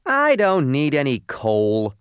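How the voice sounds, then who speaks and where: A man's voice: fairly high pitch, wildly swooping pitch, slow pace, clear, resonant tone, no echo, clean studio sound, voice pushed up, heavily acted. A man voicing a rude, scowling, dismissive female restaurant owner in a storybook.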